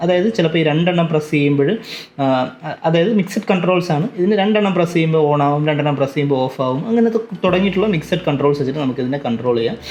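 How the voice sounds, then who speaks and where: A man's voice: a little high, darkish tone. A man giving a casual, explanatory unboxing review, often repeating and rephrasing himself as he goes. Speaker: tech vlogger and product reviewer.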